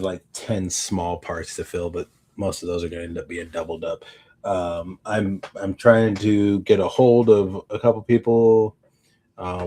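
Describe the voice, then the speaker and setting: A man chatting casually as a podcast co-host, halting and thinking aloud as he rambles along. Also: fairly low pitch. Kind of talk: casual unscripted chat.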